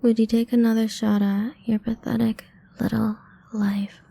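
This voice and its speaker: A woman's voice: fairly high pitch, flat delivery, normal speed. A woman playing a cruel, playful female vampire in an ASMR roleplay, taunting her prey in a condescending, half-whispered way. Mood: haughty, tempting. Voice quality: dark, soft, breathy, creaky.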